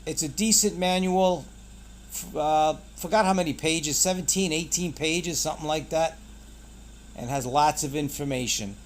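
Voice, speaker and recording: A man's voice: a little high, moderate pace, a little hiss or hum. A man hosting a casual product unboxing, pointing things out in short, clipped phrases. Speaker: product reviewer and channel host.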